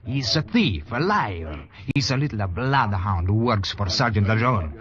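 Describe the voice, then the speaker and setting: An actor playing a sly, furtive legionnaire informant with a foreign accent in an old-time radio drama. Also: male voice, on the low side, sing-song, unhurried, a bit bright. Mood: furtive, urgent. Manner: sly, confiding. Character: furtive male legionnaire informant offering help. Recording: dull old radio broadcast recording.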